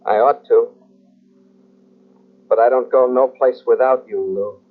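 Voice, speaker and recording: A man playing a cold, menacing husband back from the dead in an old radio drama, slow and quiet. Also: medium pitch, steady tone, natural voice. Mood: quietly ominous. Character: menacing returned husband, Nicky, cold and calm. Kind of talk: 1940s radio acting. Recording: tinny narrow old radio tape.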